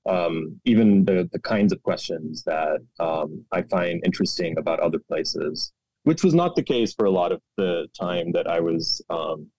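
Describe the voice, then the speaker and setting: A man in his forties, raised in Pakistan, talks in a very low voice, searching for words and doubling back, with a dry wit. The room is dead and dry. The audio is a little muffled and lo-fi. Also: expressive, normal speed, a little mellow, dry recording. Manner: thoughtful, many restarts and fillers, wry. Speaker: guest historian. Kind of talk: reflective conversational interview.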